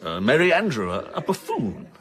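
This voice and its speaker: An actor playing a suave, teasing gentleman with oily, attentive politeness in a period radio drama. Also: mid-range voice, huge pitch swings, moderate pace. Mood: sly, teasing.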